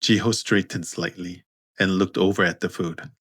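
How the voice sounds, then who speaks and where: A man narrating scripted fiction as an audiobook, in a measured, understated manner. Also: low-pitched, steady tone, fairly bright tone, breathy edge, dry recording, studio quality. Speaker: author and narrator.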